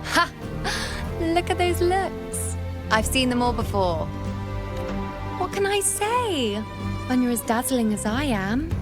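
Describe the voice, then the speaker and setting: Actress as a haughty young priestess over loud music. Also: female voice, medium pitch, natural voice. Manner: haughty, boastful, theatrical. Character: proud, haughty young priestess, playful and easily bored. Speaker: game character, priestess. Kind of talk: scripted video game voice acting.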